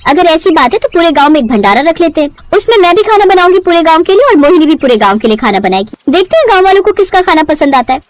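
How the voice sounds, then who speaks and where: Woman acting a high-pitched spiteful sister-in-law. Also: big pitch swings, quick pace, few pauses, slight background noise. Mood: irritated, challenging. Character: jealous, scheming young village sister-in-law.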